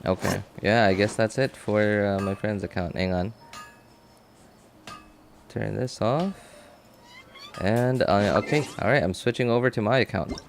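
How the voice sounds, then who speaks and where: Low-voiced man thinking aloud. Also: creaky, a little hiss or hum. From Philippines. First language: Cebuano. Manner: halting, thinking aloud with many fillers and restarts. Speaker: game streamer. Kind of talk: casual live-stream chat.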